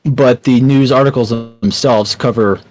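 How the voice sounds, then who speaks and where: A man's voice: mid-range voice, fairly even intonation, a little slow, darkish tone, faint music behind. A young man with a Texan accent, answering interview questions with many ums and restarts. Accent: Texan American. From Texas, USA. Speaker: founder and writer of a small news site.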